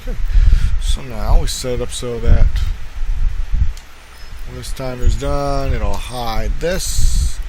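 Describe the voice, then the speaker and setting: A man's voice: mid-range voice, slow, long pauses, noisy background. A man giving a casual, informal screen-capture tutorial, muttering to himself as he works. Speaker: tutorial host.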